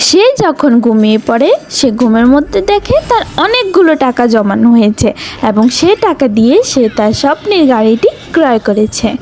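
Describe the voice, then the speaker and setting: একজন নারী কার্টুন ভিডিওর জন্য লিখিত গল্প বর্ণনা করছেন।. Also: female voice, fairly high pitch, sing-song, normal speed, darkish tone, slight background noise. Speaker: narrator. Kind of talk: scripted story narration for a cartoon video. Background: music.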